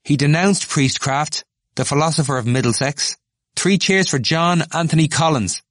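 A man voicing an excitable young male student with a bleating, indistinct voice. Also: medium pitch, quick pace, husky, no room sound, clean studio sound, voice pushed up. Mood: excited.

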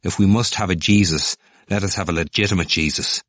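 A man's voice: deep voice, brisk, a bit bright, no room sound, clean studio sound. A man voicing a wary young male student who speaks in a guarded, polite way.

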